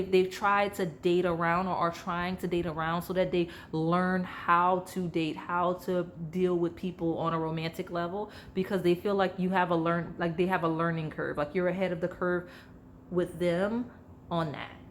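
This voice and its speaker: A woman giving a casual tarot reading to camera, chatty and rambling, with her voice going slightly hoarse. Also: on the low side, a little hiss or hum. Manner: chatty, rambling, heavy use of "like", addresses viewers directly. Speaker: tarot reader.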